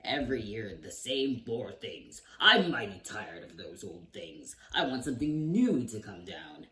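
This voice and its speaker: A grumpy old storybook king who growls and barks orders, as a man reads him aloud. His voice swings widely and booms out in an echoey room. The mic sits some distance away, with faint hiss behind. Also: expressive, slow, heavily acted. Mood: bellowing. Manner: growling, shouting commands. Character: grumpy old male king, bellowing tyrant.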